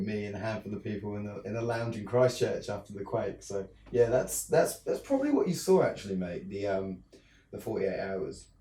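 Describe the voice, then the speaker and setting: Low mellow-voiced Englishman, roomy sound. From southern England. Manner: hesitant, many fillers and restarts. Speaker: independent filmmaker guest. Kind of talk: casual interview. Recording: roomy, distant mic.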